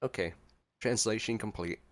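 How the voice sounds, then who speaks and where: A man's voice: fairly low pitch, normal speed. A man giving casual, chatty gameplay reaction commentary as a streamer. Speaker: gaming streamer. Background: faint game music.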